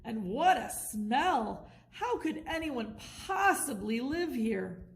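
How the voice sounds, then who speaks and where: A woman's voice: on the high side, very animated, takes it slowly, roomy sound, heavily acted. A woman voicing a grumpy, suspicious penguin musician who complains, in a children's storybook. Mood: disgusted. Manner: grumbling, complaining.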